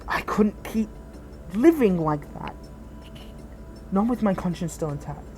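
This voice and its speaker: A woman's voice: medium pitch, lively intonation, measured pace, a little mellow, soft background music, voice pushed up, natural voice. A woman playing a betrayed former hero turned villain in an audio roleplay, speaking earnestly and intimately, with idealism and bitterness. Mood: bitter, frustrated. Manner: earnest, impassioned, intimate address to a partner. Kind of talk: dramatic audio roleplay monologue.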